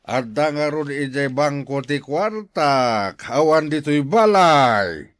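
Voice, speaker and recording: A man's voice: on the high side, animated, slow, no echo, clean studio sound. An actor playing a rich, stingy older village man with a mocking, sneering manner in a radio drama. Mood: scornful, incredulous. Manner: scornful, sneering, incredulous.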